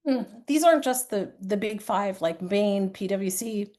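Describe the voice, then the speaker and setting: A woman presenting at a conference session, hoarse from a cold and often clearing her throat, talking earnestly and conversationally with frequent fillers. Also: medium pitch, unhurried, dark tone. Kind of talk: live-streamed conference talk.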